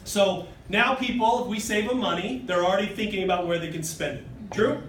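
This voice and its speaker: Thirties male presenter with a high, punchy delivery, recorded at a distance in a hotel meeting room with faint hiss. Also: brisk, a bit bright. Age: 30s. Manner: emphatic, keeps asking "okay?" and "true?", gestures broadly while standing. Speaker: sales presenter. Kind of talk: motivational sales pitch. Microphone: far phone camera in the audience. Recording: roomy, distant phone recording.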